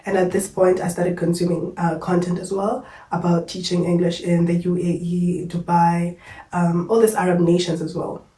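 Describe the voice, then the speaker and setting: Kenyan woman with a low, mellow voice. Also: monotone, normal speed, echoey room, far from the mic. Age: young adult. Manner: chatty, candid, uses fillers like "like" and "yo". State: unwell, short of breath. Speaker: vlogger. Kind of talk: casual personal storytelling to camera.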